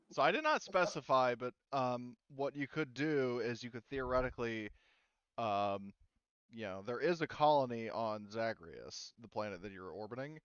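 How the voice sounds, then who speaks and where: A man's voice: mid-range voice, fairly bright tone, smooth, no room sound, lo-fi sound. A man casually running a tabletop roleplaying game as game master, hesitant and thinking aloud.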